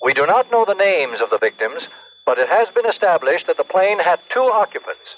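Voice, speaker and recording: An actor playing a formal radio newsreader giving bulletins through a radio filter. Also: male voice, sits high, very animated, a little fast. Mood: urgent. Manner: formal, clipped announcing. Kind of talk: old radio news bulletin acting. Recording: tinny, noisy radio bulletin sound.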